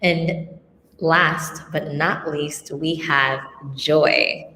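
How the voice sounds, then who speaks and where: A woman's voice: deep voice, fairly even intonation, very slow, bright, forceful tone, reverberant, long reverb tail, slight background noise, low-quality recording. A woman moderating a panel, handing over briefly from one guest to the next. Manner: brief handovers between guests.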